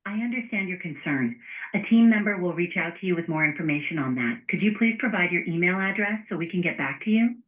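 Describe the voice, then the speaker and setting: A woman's voice: fairly low pitch, lively intonation, fast talker. A woman's synthetic voice working as an automated phone receptionist, polite and formulaic in scripted customer-service phrases. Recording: tinny phone line.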